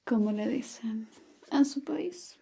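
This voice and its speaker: Slow whispering woman, breathy. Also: on the high side. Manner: soft whisper, playful teasing. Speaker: ASMR roleplay Spanish tutor. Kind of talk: whispered ASMR roleplay.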